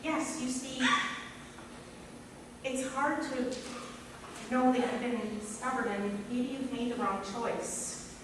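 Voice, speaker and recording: A woman playing a guarded but caring aunt in an amateur stage play, speaking haltingly. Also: high-pitched, fairly even intonation. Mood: nervous, confiding. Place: community theatre stage in a hall. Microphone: distant audience camera. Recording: echoey hall from far off, noisy.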